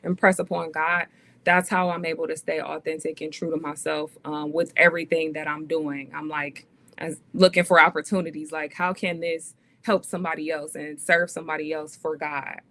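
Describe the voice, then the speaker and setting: A woman's voice: deep voice, level tone, moderate pace, bright, forceful tone. A woman in her thirties, a podcast guest, talking earnestly and casually with many fillers. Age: 30s. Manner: earnest, faith-driven, many "um"s and "like"s. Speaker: guest, actress and author.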